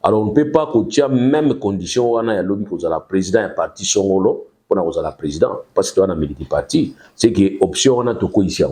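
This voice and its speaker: A Congolese man with a Congolese accent speaks in a mellow, darker voice. He explains a legal point at length and steadily, as a guest on a political talk show, and slips between French and Lingala as he goes. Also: sits low, expressive, a little fast, hardly any pauses. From Congo-Kinshasa.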